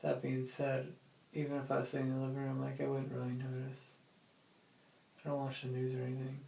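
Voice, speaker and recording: A young adult man recording a casual, rambling audio diary, musing in a halting, hesitant way. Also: medium pitch, completely flat delivery, vocal fry, mellow. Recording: very echoey room, faint noise.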